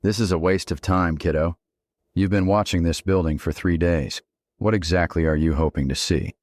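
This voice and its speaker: Actor as male imagined dead father, middle-aged, audio drama: deep bass register, chiding, teasing, fond. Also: fairly even intonation, normal speed, darkish tone, dry recording, close to an everyday voice. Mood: chiding, probing. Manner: dry, chiding.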